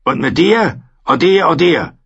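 A man's voice: on the high side, expressive, a little slow, a bit bright, slightly rough, highs cut off, voice pushed up, heavily acted. A male narrator plays a violent wildling man in a fantasy audiobook. He growls with proud defiance, his voice raised and threatening. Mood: menacing.

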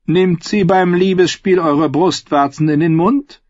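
A man voicing a sinister torturer lord in an audiobook, courteous and concerned with quiet menace. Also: sits high, fairly even intonation, measured pace, no room sound, muffled recording, pitched above the performer's own voice. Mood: smoothly menacing. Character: softly polite, sinister male torturer lord.

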